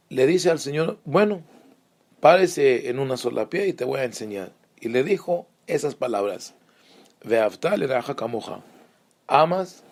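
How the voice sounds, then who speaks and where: Israeli rabbi teaching online, a bit of vocal fry, mid pitch, pauses to find words. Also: male voice. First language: Hebrew. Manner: hesitant, many fillers and restarts. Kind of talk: online lesson, storytelling.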